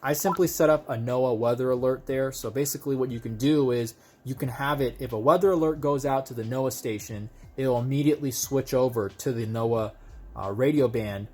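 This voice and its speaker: A man's voice: mid-range voice, a little fast, darkish tone. A man giving a casual, conversational hands-on product demonstration, explaining things step by step as a video host.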